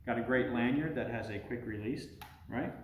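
A man's voice: medium pitch, moderate pace, slight background noise. A middle-aged American man talking casually as a father reviewing a product on a family vlog. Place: living room at home. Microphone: camera a short distance away. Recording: roomy home sound with music behind.

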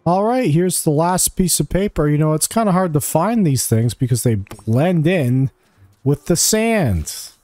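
A man's voice: a little high, lively intonation, unhurried, darkish tone, soft background music. A man giving a casual video game walkthrough, thinking aloud in short, offhand remarks as he plays. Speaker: gamer giving a quest walkthrough. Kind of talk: casual gameplay commentary.

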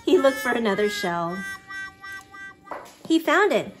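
A woman's voice: fairly high pitch, big pitch swings, measured pace, music in the background. A woman reading a children's picture book aloud expressively, acting out exclamations and sound effects. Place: living room at home.

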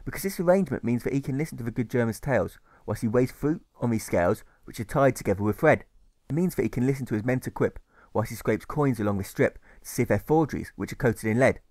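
A man reads rhyming scripted narration for an audiobook. His voice is medium-pitched, his delivery measured and rhythmic, leaning on each rhyme. The recording is very dry. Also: animated, darkish tone, no echo. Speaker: author reading his audiobook.

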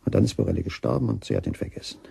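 An actor playing a brooding, jealous man in a German crime radio drama, gravelly and bitterly musing. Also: low-pitched, very animated, rapid-fire, background noise, exaggerated voice. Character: brooding, jealous betrayed man investigating his lover's secret.

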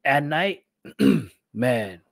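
A man's voice: mid-range voice, lively intonation, extremely slow, gravelly, dry recording. A young man giving casual, slangy live reactions in short interjections into a close desk microphone. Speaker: reaction streamer. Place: small indoor room with green screen. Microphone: close desk microphone, headphones on.

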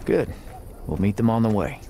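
An actor playing a grim, determined western gunslinger in a video game, speaking tersely with a dry drawl. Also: male voice, fairly low pitch, animated, normal speed, mellow, slight background noise. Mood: dry resolve. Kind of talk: video game cutscene dialogue.

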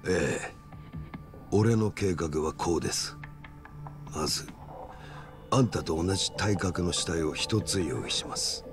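A man's voice: sits low, unhurried, long pauses, music in the background. A man playing a calculating, menacing yakuza in a video game, with a Kansai accent and polite speech that carries blunt threats. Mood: coolly persuasive. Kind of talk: dramatic video game cutscene acting.

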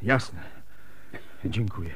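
A man's voice: sits low, very slow, fairly bright tone, breathy edge, exaggerated voice. An actor playing an anguished, devoted young widowed father in a radio drama, grave, emotional and pleading. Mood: subdued.

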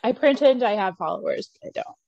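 A woman's voice: fairly high pitch, animated, quick pace, creaky, dark tone, highs cut off. A middle-aged woman chatting casually as a guest on a video call, a little hesitant and self-deprecating. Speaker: guest co-host. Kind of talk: casual chat. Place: room at home, video call.

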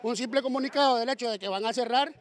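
Very high-pitched emphatic man. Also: fast. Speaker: taxpayer interviewed. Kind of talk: spontaneous street interview. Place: outdoors on a street. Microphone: handheld news microphone.

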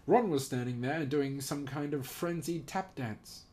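A man's voice: a little high, measured pace, darkish tone. A man casually reading aloud and commenting as host, amused and giggly, swearing now and then.

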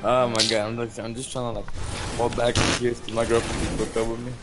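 A man's voice: mid-range voice, flat delivery, quick pace, over loud music. A fifteen-year-old boy chatting over an online game in a laid-back, slangy, self-mocking way. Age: teens. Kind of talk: casual gaming chat. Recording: clear noise on the line.

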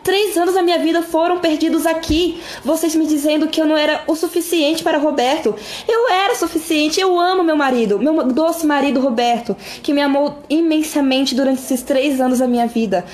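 A woman's voice: high-pitched, fairly quick, hardly any pauses, a little mellow, slight background noise, close to an everyday voice. A woman playing an emphatic, wronged young wife in an amateur radio drama. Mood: outraged, defiant. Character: young wronged wife, insecure turned defiant.

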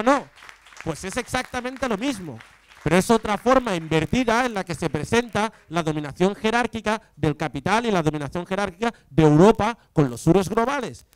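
A man's voice: high-pitched, clear, resonant tone, no room sound. A young man giving an impassioned, argumentative political talk on a panel, posing rhetorical questions into a handheld mic. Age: young adult. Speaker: panel speaker. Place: panel table in an event tent before an audience. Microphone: handheld mic close to the mouth.

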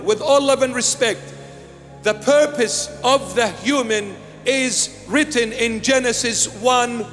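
High-voiced bishop preaching with emphasis. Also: male voice, fairly bright tone, in a large hall. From Iraq. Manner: emphatic, repeating key phrases. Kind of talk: sermon. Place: small church with altar and candles. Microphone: handheld microphone.

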